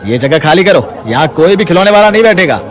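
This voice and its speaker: A man's voice: high voice, big pitch swings, fast, pitched above the performer's own voice. A man doing a rude bullying shopkeeper. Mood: bossy. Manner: harsh, shouting.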